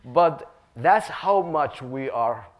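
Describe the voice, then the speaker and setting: A man's voice: medium pitch, expressive, takes it slowly. Middle-aged man, earnest panel storyteller, lapel mic on stage. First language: Somali. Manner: earnest storyteller, repeats words as he builds phrases. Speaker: panelist, community worker and radio host. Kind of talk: panel talk, personal anecdotes. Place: auditorium stage.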